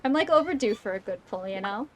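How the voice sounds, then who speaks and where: A woman's voice: medium pitch, animated, quick pace, slight background noise. A woman doing casual live gameplay commentary, chatty and playfully grumbling, often muttering to herself as she plays. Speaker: streamer playing a video game. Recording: game music behind the voice. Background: video game music.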